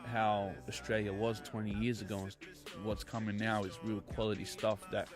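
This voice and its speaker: A young man, son of immigrants, speaking in a measured, matter-of-fact way in an interview. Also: lowish voice, little pitch movement. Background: music playing.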